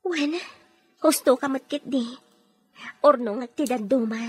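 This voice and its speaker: A woman's voice: sits high, measured pace, darkish tone, husky, soft background music. An actress playing an agreeable, troubled woman who confides in her friend in a radio drama.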